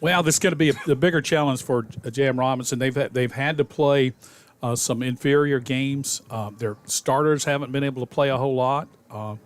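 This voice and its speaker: A folksy man talks high school football on local radio, ambling through his points with plenty of "uh"s and restarts. His voice sits in a plain middle register. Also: steady tone, brisk. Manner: folksy, rambling, many fillers and restarts. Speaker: radio color commentator. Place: press box at a high school stadium.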